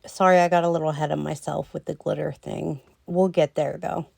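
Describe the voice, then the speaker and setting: A woman talking through a nail tutorial in a slow, rambling way with lots of ums, a little hoarse as she loses her voice. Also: sits low, flat delivery, darkish tone, no echo. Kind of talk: casual voice-over tutorial.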